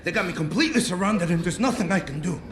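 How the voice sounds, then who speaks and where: An actor playing a cornered, vengeful young hostage-taker in a video game, agitated and swaggering with street talk. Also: male voice, high-pitched, expressive, fast talker. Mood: desperate, wary. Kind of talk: dramatic video game acting. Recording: echoey room.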